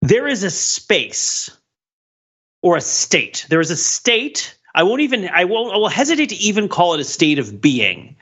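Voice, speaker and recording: Bright, forceful man, slightly dull recording. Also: sits high, huge pitch swings, slightly rough. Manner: deliberate, mock-academic, measured phrasing with rhetorical tags. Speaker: podcast panelist. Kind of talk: analytical commentary.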